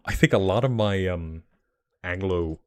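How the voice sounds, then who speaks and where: Low-voiced Icelandic man chatting casually. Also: measured pace. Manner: rambling, enthusiastic, many fillers and restarts. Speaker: streamer. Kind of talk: casual livestream chat.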